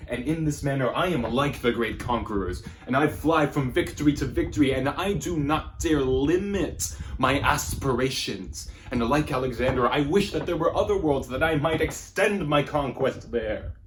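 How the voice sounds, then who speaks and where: A man's voice: mid-range voice, moderate pace, few pauses, close to an everyday voice. A man performing an arrogant, passionate womanizing nobleman in a theatrical, declamatory classical stage monologue. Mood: grandiose, boastful. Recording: noisy amateur room recording.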